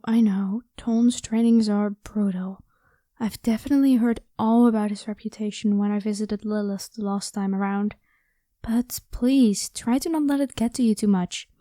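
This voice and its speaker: High, mellow female voice, caring vampire, played by a woman, casual, encouraging, dry close sound, ASMR roleplay. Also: no echo, natural voice. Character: caring, protective, playful young vampire woman.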